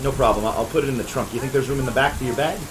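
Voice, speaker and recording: A man's voice: mid-range voice, very fast, close to an everyday voice. A man playing a down-on-his-luck jazz pianist in an audio drama, chatty, nervous and hesitant. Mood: startled, nervous. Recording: loud background ambience noise.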